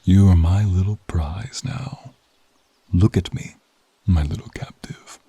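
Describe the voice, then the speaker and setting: A man playing a dominant, playfully possessive hunter in an ASMR roleplay, with a deep, melodic voice and a slow, commanding murmur. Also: expressive, gravelly, dark tone, breathy edge, no room sound, exaggerated voice. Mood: possessive, teasing.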